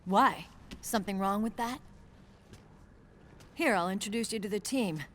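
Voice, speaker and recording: A woman playing a tough young military squad commander, brisk, guarded and no-nonsense, in a dramatic video game. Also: mid-range voice, a little hiss or hum, natural voice.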